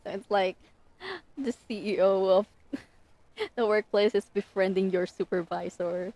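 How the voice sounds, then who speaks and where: A woman's voice: mid-range voice, steady tone, a little slow, mellow. A woman streamer talking casually as she plays a game on a livestream.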